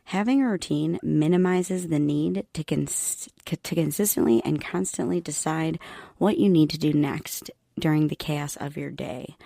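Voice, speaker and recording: A middle-aged woman hosting her own podcast, talking in a calm, steady monotone. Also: sits low, vocal fry, no room sound, studio quality. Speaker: podcast host. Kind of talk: solo podcast talk. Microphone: home podcast mic.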